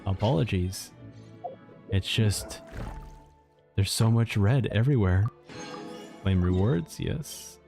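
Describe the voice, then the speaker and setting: Animated male game streamer. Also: on the low side, slow pace, creaky, pauses often. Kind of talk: casual live reaction commentary over gameplay. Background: game music.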